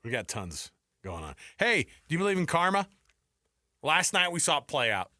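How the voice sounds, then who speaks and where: A man's voice: on the high side, sing-song, normal speed, pushed and bright, dry recording, studio quality. A man hosting a sports talk radio show, chatting casually and riffing jokingly in a rambling way.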